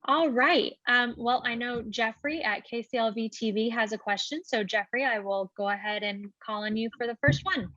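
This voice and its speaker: Dull-sounding woman moderator, slightly high. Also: moderate pace, few pauses, pushed and bright, dry recording. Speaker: press conference moderator. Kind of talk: moderating questions. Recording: dull lo-fi call audio.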